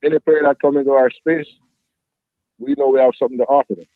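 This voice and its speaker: A man's voice: medium pitch, fairly even intonation, fast, no echo. Jamaican man on a tinny line. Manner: reflective, leans on "you know" fillers. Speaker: youth football coach. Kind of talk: interview answers. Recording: dry tinny phone-like line.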